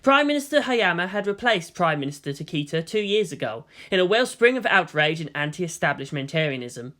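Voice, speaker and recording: A narrator reading a story aloud in an expressive, scripted storytelling style. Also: mid-range voice, a little fast. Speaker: audiobook-style reader of fan fiction.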